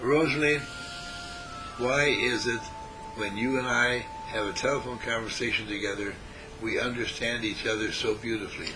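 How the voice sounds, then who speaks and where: A man giving a talk through an interpreter, speaking in short, deliberate phrases and pausing for translation. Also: mid-range voice, steady tone, music in the background, low-quality recording. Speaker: speaker or teacher.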